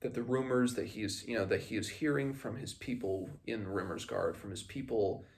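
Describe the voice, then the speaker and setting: A man hosts a casual book discussion in a fairly low voice with plenty of vocal fry. He explains as he goes, in a loose, rambling and slightly hesitant way. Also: moderate pace, darkish tone. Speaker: host of a book read-along. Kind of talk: casual book discussion with passages read aloud.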